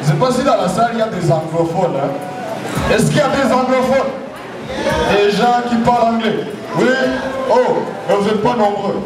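A man's voice: high-pitched, normal speed. A young man, a Kinyarwanda speaker, emceeing a stage show and joking with the audience, mixing in English words. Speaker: master of ceremonies. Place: large hall on stage before a crowd. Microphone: handheld mic heard from far. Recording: echoey hall recorded from far off with loud crowd and music.

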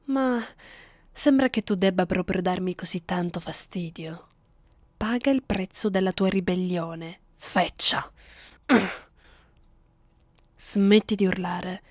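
A woman voicing a cruel, bored, centuries-old female vampire in a close ASMR roleplay, condescending and deliberate, often slipping into whispers. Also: on the low side, no room sound. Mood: mock sorrow, threatening, then scornful, commanding. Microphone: close microphone, dry sound.

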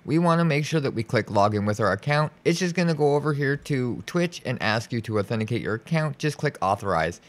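A man giving an informal, reassuring step-by-step software tutorial as a voice-over. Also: medium pitch, expressive, a little fast, hardly any pauses. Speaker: tutorial host. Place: indoors, voice-over for a screen recording.

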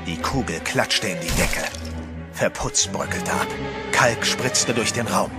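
First-person horror narrator, man, tense delivery, low voice, music underneath. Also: unhurried.

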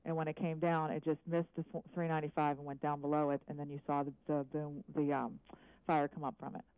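Eyewitness phoning in to live news; smooth voice, hesitant answers, phone-band sound. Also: medium pitch, level tone, no echo. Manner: hesitant, halting, careful answers. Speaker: eyewitness caller. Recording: narrow, dry phone line.

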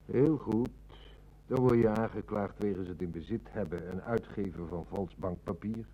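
An actor with a Netherlands Dutch accent playing a stern, shrewd police inspector in an old radio drama, speaking with dry authority. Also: male voice, fairly low pitch, moderate pace, mellow, natural voice, low-quality recording.

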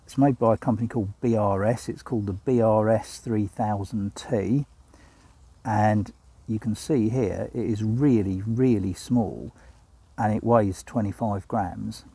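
A man's voice: fairly low pitch, unhurried, warm tone, husky, dry recording. An older man vlogging outdoors in a wood, chatting casually about his camping gear with plenty of ums and uhs. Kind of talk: casual walk-and-talk vlog. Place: outdoors in a woodland.

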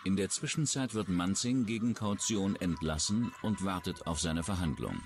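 A man's voice: sits low, lively intonation, normal speed. A man reading scripted true-crime documentary narration in the role of narrator. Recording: music behind the voice. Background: loud background music.

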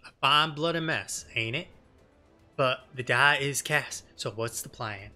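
Guy doing a sardonic rebel leader lady, blunt game dialogue, bright punchy voice, music behind. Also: mid-range voice, a little slow. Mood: blunt, wry. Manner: blunt, colloquial, mildly cursing. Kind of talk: game dialogue read in character.

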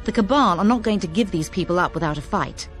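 An actress playing a brisk military officer giving a scripted mission briefing, firm and matter-of-fact. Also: female voice, lowish voice, animated, faint music behind, close to an everyday voice.

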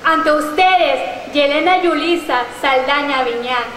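Measured young woman pageant speaker. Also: sits high, a little hiss or hum. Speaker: pageant contestant. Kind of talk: formal self-introduction on stage. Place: large outdoor stage at night. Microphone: stand microphone. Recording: echoey venue over loudspeakers.

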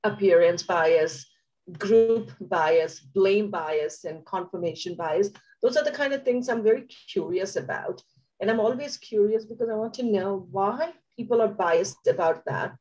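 A woman guest speaks over a webcam on a video call while still getting over a nasty cough. She lays out her points in a deliberate, explanatory way at a middling pitch. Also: a little mellow, poor audio. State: recovering from a cough, under the weather. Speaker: guest, tech community co-founder.

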